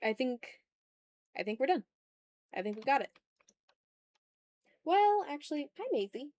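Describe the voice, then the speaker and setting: Woman, higher-pitched voice, dry close sound, casual art-stream chat, informal, self-directed muttering. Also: sing-song, a little slow, darkish tone, no room sound. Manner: informal, self-critical muttering to herself and viewers. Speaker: streamer hosting an art livestream. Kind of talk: casual chat while drawing.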